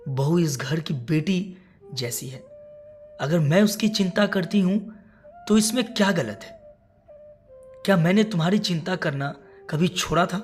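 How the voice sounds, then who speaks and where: A woman voicing a worried, self-sacrificing elderly mother in a moral story, speaking gently and earnestly. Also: lowish voice.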